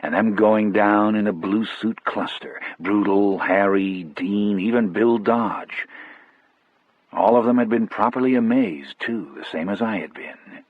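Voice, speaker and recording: A man narrating a first-person audiobook story in a measured, reflective manner. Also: lowish voice, no echo, phone-quality sound.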